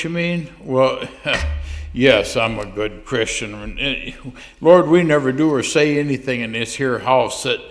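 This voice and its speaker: A man's voice: medium pitch, natural voice. A man playing a sheepish churchgoer who stammers out excuses to God on the phone. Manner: stammering, hesitant, with many restarts. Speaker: church speaker. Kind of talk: comic phone-call monologue.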